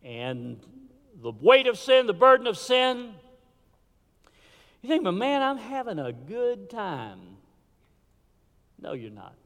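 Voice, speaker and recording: A man preaching a sermon in a church, swinging from fervent calls to hushed, low warnings. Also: very animated, slow, pauses often. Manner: impassioned preaching with sudden drops to a hush. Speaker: pastor. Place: church sanctuary. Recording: hall reverb.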